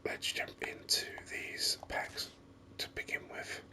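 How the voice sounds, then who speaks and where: A gaming host whispering ASMR commentary very close to the microphone in a rambling, hesitant way. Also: takes it slowly. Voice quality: breathy unvoiced whisper. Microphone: microphone very close to the mouth. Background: voice-over on game screen capture.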